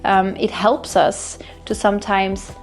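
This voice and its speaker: A woman's voice: mid-range voice, little pitch movement, takes it slowly, soft background music. Woman vocal coach from Belgium. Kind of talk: scripted explanation to camera.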